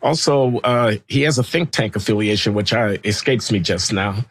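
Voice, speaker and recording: A man interviewing a guest, asking measured questions in a conversational tone. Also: lowish voice, no room sound.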